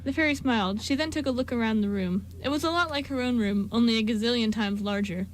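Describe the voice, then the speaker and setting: Woman, story narrator, brisk pace, scripted amateur radio drama, faint background noise. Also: fairly high pitch.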